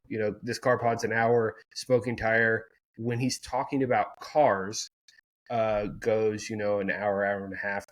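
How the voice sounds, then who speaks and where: Rambling man chatting on a podcast. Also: fairly low pitch. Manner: rambling, many fillers and restarts. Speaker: podcast co-host. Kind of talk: casual chat.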